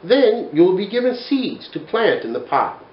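A man voicing a wise, calm owl of the forest in a read-aloud storybook. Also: sits high, moderate pace, reverberant, dull audio, pitched above the performer's own voice. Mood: instructing.

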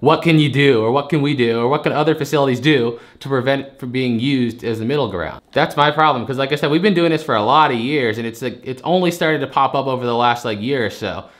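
A man's voice: mid-range voice, fast talker, few pauses. A man, a storage unit buyer arguing his case, frustrated and rambling, repeating himself as he pushes his point. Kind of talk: heated phone argument.

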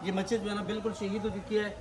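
A man's voice: high voice, little pitch movement, very fast. A man presenting a charity appeal on location, speaking in an earnest, devout and repetitive way. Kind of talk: spoken appeal to camera with on-site interviews. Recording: noisy field recording with vehicle sounds.

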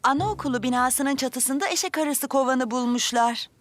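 Kind cartoon mum, acted by a woman: high-pitched, upbeat, polite, faint music underneath. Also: moderate pace, natural voice. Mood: polite, concerned. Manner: polite, gently encouraging. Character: kind, upbeat mother of young twins. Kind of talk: children's cartoon dub.

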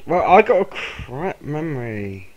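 Cornish man, unhurried delivery, playful banter over a game, laughs a lot. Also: fairly high pitch, big pitch swings, dull audio. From Cornwall. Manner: laughs often, playful and teasing. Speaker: game player. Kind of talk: casual banter while gaming.

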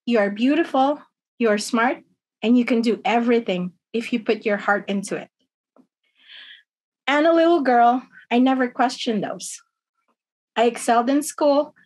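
Earnest middle-aged woman, slightly high voice. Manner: earnest, measured, slightly halting delivery. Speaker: union member. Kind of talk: personal testimony read aloud. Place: dim room at home. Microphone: webcam on a video call.

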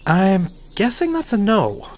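A man's voice: high voice, huge pitch swings, unhurried, darkish tone, exaggerated voice. A man playing a wry, observant visitor who narrates his island tour in the first person. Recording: roomy echo, reverb tail, music behind.

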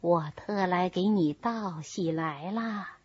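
A woman reading a novel voices an older, scheming wife of a wealthy master, speaking in a soft, coaxing manner. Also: on the low side, takes it slowly, breathy edge, no room sound, muffled recording, voice pushed down. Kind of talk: storybook character reading.

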